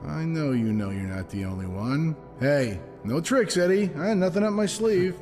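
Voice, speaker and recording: A man's voice: on the high side, animated, normal speed, darkish tone, natural voice. Casting: male actor as hard-nosed noir detective, wry and needling, streetwise, reverberant with music underneath. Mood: pressing. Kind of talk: noir audio drama dialogue.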